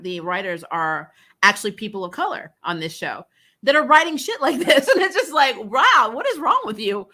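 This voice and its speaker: A woman's voice: on the high side, pushed and bright. A woman hosting a casual fan livestream, giving candid, emphatic opinions in slangy, chatty commentary. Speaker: host of a fan recap livestream.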